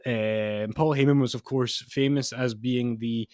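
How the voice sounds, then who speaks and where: Guy late 20s on a wrestling podcast, thinks out loud, stop-start, dry close sound. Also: medium pitch, fairly even intonation, unhurried, no echo. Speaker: podcast co-host. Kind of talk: casual wrestling podcast chat.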